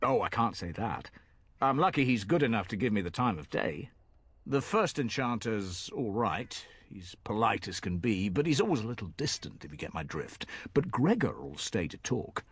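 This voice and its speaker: A man's voice: lowish voice, sing-song, moderate pace, fairly bright tone, husky, no echo, heavily acted. An actor playing a plain-spoken, folksy commoner ferryman in a fantasy video game, chatting in rustic, colloquial speech. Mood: earnest, respectful.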